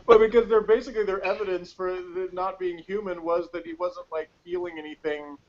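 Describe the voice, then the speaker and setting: A man's voice: high-pitched, fairly even intonation. Rambling man chatting on dull lo-fi audio. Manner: rambling, halting, self-interrupting. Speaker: podcast co-host. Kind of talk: casual chat reviewing TV episodes.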